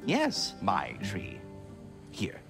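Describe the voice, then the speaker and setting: A man's voice: on the low side, huge pitch swings, extremely slow, creaky, fairly bright tone, faint music behind, full character voice. A man voicing a chatty, courtly talking squirrel in a video game, fussy and affable with pompous, theatrical enunciation. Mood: affable, explanatory. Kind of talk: video game character acting.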